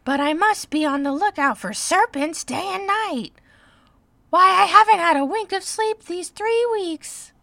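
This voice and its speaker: An agitated mother pigeon from a storybook, piercingly high and sharp-edged. The bird scolds in a creaky, shrieking way, indignant and full of contempt. Every complaint is plaintive and emphatic. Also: animated, unhurried, no room sound, full character voice. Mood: shrill exasperation. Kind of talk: dramatised storybook reading.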